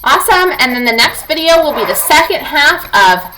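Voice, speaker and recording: A woman teacher reading non-fiction aloud to young students in an expressive, clearly enunciated, instructive way. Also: sits high, moderate pace, background noise.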